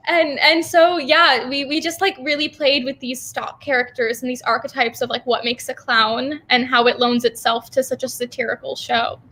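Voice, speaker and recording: A woman with a high, clearly bright voice speaks as an interview guest. Her delivery is casual and conversational. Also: fairly even intonation, unhurried. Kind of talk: casual chat.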